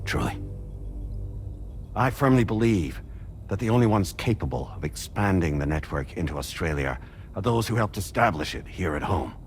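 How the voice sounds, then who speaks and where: Game role: a male company president, deep, authoritative and courteous, measured formal speech over soft music. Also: lively intonation. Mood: courteous, assured. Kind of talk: scripted game cutscene speech.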